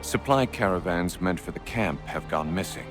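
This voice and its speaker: An actor playing a grave, courteous military commander in a video game, formal, measured and authoritative. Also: male voice, low-pitched, lively intonation. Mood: grave, wary. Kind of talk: dramatic video game acting. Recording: prominent music behind the voice.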